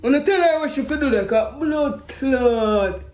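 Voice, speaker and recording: Very high-pitched Jamaican man, exclamatory prankster. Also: animated, a little slow, darkish tone. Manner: exclamatory, repeats himself, swears. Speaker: prank call show host. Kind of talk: animated prank commentary in Patois.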